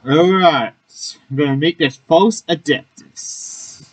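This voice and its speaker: A casual let's player commenting on a game. The delivery is unhurried, informal and offhand. Faint game music runs behind the voice. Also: medium pitch, husky. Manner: laid-back, offhand, informal.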